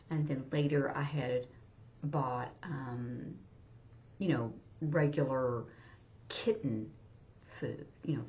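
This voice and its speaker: A woman teacher retelling a story informally for a lesson, in a halting, step-by-step way. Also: low voice. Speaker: sign language teacher. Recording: dull, muffled lo-fi audio.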